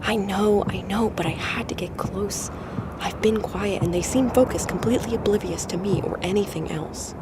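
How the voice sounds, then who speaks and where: A woman playing a watchful field observer in an audio drama, reporting in a hushed, halting way. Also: mid-range voice, heavy background noise. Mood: determined.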